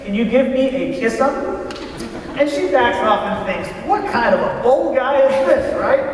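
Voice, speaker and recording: Casting: chatty folksy male storyteller actor, high voice, conversational, heard in a long-reverb hall. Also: lively intonation, a little slow. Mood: amused. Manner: conversational, checks with listeners. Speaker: storyteller in a readers theater. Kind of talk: staged anecdote telling. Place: large hall, live stage performance. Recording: very echoey hall, distant mic, faint noise.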